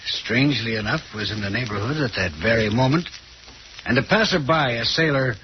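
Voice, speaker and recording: An actor playing a formal, macabre storyteller, narrating an old radio horror drama deliberately. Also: male voice, medium pitch, close to an everyday voice. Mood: solemn, building. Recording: muffled old radio, faint noise.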